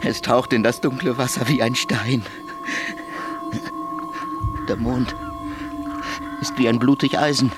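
An actor playing a haunted, frantic murderer in a German radio drama, halting and repeating himself, then breaking into defiance. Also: male voice, medium pitch, steady tone, lightly acted. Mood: haunted, guilty. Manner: halting muttering broken by outbursts, repeats words. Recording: music behind the voice.

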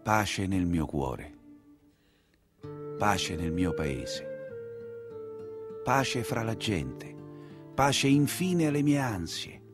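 Casting: Roman coachman, 19th century, humble and emotional, very lively intonation, earthy dialect, theatrical solo storytelling. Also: lowish voice, extremely slow, long pauses, over music, full character voice. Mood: wistful, subdued.

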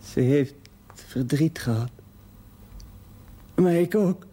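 A man's voice: fairly high pitch, slow pace, warm tone, breathy edge. An actor playing a dying man in a television drama, bitter and regretful, speaking in laboured, straining fragments. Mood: bitter, resigned. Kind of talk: television drama deathbed acting.

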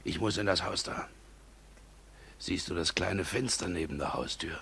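An actor playing a brutal, impatient burglar who gives gruff, threatening orders in a radio drama. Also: male voice, deep voice, moderate pace, sharp tone, heavily acted. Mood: gruff, commanding. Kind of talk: 1950s German radio drama acting. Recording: 1950s radio recording with faint hiss.

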